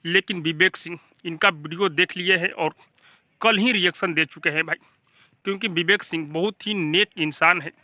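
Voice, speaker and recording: Bright, high-voiced man with Bhojpuri accent. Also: normal speed, dry recording. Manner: emphatic, repeatedly addressing viewers as brother. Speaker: YouTube channel host. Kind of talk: informal gossip news commentary to camera or voice-over.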